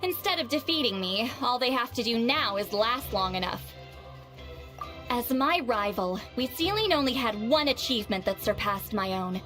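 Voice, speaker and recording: A proud, prickly shaman of great age speaks with a high, lively voice, full of haughty, dry sarcasm. It sounds like a game cutscene with soft music behind. She treats the others as beneath her. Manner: haughty, defensive and dryly sarcastic. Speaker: shaman character in a video game.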